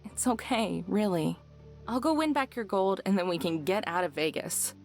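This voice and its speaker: Casting: actress as blunt, caring young woman drifter, medium pitch, dry and casual, visual novel with faint music. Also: normal speed, close to an everyday voice. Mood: reassuring.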